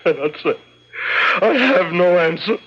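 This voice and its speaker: A man's voice: high voice, lively intonation, unhurried, slightly rough, exaggerated voice. An actor playing a humble, remorseful father on trial in a radio drama, earnest and theatrical. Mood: remorseful, pleading. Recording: dull lo-fi old radio recording.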